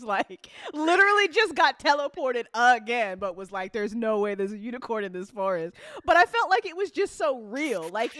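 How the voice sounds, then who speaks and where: A woman hosting a casual chat as a drama writer, excitable and gushing, often repeating phrases for emphasis. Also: a little high, no echo, clean studio sound. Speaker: audio drama writer and after-show host. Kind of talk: casual after-show chat.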